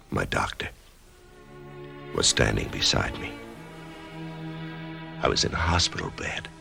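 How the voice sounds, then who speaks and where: Dazed writer in a radio play, super deep gravelly voice, slow and stammery. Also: male voice, heavily acted. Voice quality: gravelly, hushed. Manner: halting, stammering when shaken. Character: dazed male writer waking from surgery. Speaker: narrator and lead character. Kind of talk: radio drama acting.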